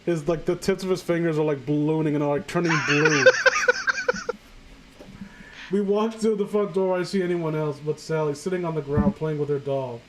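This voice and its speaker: High-pitched incredulous man reading aloud. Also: steady tone, moderate pace, noisy background. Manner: incredulous, stumbling over the text. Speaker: host reading aloud and commenting. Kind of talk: casual mocking reading of amateur fan fiction with friends.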